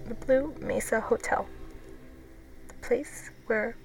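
An actress playing a shaken woman telling a harrowing personal story as a dramatic, halting monologue. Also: fairly high pitch, faint music behind. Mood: wry, rueful. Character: shaken woman recounting losing all her hair at a hotel. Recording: noisy recording with ambient sound.

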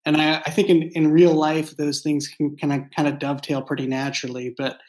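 A man speaking as a writer in a reflective interview, explaining his thoughts in a halting, thoughtful way. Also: fairly high pitch, little pitch movement, a little mellow. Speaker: writer and show creator being interviewed.

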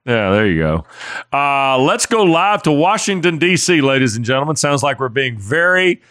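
A man's voice: medium pitch, sing-song, fairly bright tone. A man hosting a sports radio show, talking casually and joking with a guest and his co-host. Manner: joking, conversational, quick asides.

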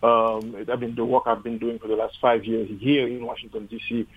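A man's voice: medium pitch, little pitch movement, a little fast, breathy edge. A man from Haiti, a physician, speaking hesitantly with many fillers in a radio interview over a remote line. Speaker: physician guest. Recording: tinny phone-like line.

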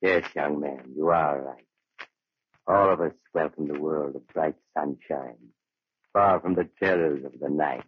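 An actor playing an eerie male storyteller, narrating ominously and with measured delivery in 1940s radio horror style. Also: rumbling low, breathy edge, full character voice. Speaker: story host. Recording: dull old radio broadcast.

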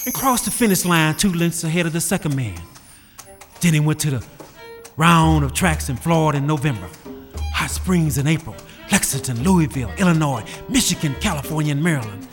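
Man telling a story with rhythmic build. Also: on the high side. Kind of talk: dramatic story narration. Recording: reverberant space with music behind.

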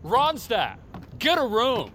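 An actor playing a rowdy, teasing young buddy hanging out outdoors in an audio drama. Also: male voice, extremely high pitch, huge pitch swings, slow pace, exaggerated voice. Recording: off-mic with loud outdoor noise.

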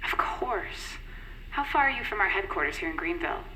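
A woman's voice: medium pitch, expressive, fairly quick, pushed and bright, slight background noise, poor audio. A woman playing a reassuring, persuasive corporate agent in an audio drama, polished and soothing. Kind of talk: naturalistic audio drama acting.

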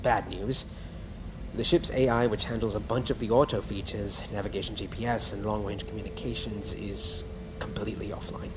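An actor playing a stressed, defensive ship engineer who talks technically, in a naturalistic audio drama. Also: male voice, fairly low pitch, fairly even intonation, moderate pace, noisy background, close to an everyday voice. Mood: weary, explaining. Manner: technical explaining, exasperated sighs.

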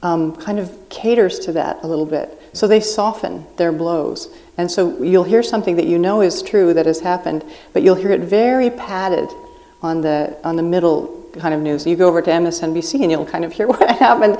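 A woman's voice: fairly low pitch, normal speed, mellow. A woman guest writer on a live podcast at a book festival, explaining her views in an earnest way.

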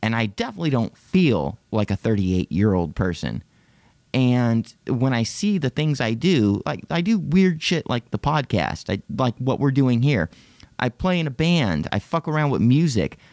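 A man's voice: mid-range voice, big pitch swings, normal speed, a little mellow, dry recording, studio quality. A man in his late thirties, rambling and profane in casual self-deprecating podcast chat. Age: 30s. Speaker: podcast host.

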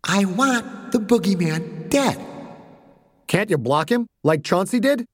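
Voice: mid-range voice, lively intonation, slow pace, in a large hall, soft background music, pitched above the performer's own voice. A narrator voicing a bossy, cruel little girl who gives orders in a childish, spoiled way, in an audiobook story. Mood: demanding.

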